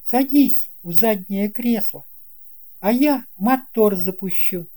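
A man's voice: high voice, slow, warm tone, voice pushed up. A man voicing a confident, friendly young boy pilot in a storybook tale, assured and lightly teasing. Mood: matter-of-fact.

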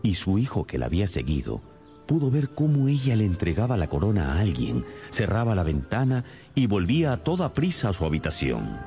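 Deep-voiced, animated male detective. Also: faint music behind. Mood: assured, explanatory. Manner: theatrical, deliberate, explanatory. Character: shrewd, self-assured male detective explaining his deductions. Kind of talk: radio drama acting.

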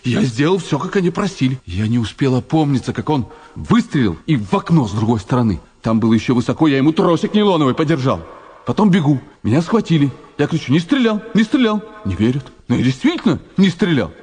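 Radio drama role: naive, frightened male convict. Husky mid-range voice, jittery, plaintive, colloquial delivery. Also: medium pitch, sing-song, quick pace, a little mellow, in a large hall. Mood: agitated, pleading. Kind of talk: Soviet radio drama acting.

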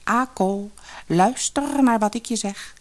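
A woman puts on a magical storybook dove that talks. The voice is high and cooing, confiding and gently insistent, as if sharing a secret. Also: slow pace, close to an everyday voice. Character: talking dove, cooing, mysterious and insistent, in a fairy tale.

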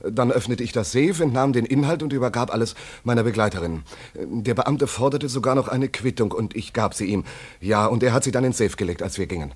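An actor playing a harried wartime German inspector in a radio play, flustered and brusque. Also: male voice, mid-range voice, a little fast, slightly rough, close to an everyday voice. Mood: weary, recollecting.

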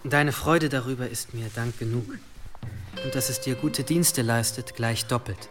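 Medieval monk-tinkerer for audio drama, male actor: modest, composed, encouraging, average pitch, natural dialogue over music. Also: moderate pace, close to an everyday voice. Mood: modest, explaining.

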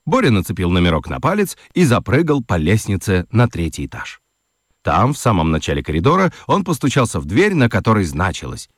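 A man narrating a children's radio play as an expressive, scripted storyteller. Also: on the low side, dry recording, studio quality.

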